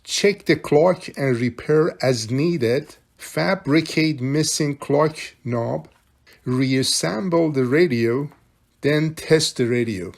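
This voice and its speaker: A man's voice: a little high, takes it slowly, vocal fry. Older man chatting through a radio repair. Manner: chatty, thinking aloud while working. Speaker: electronics repair hobbyist. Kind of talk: casual repair tutorial. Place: home workshop room.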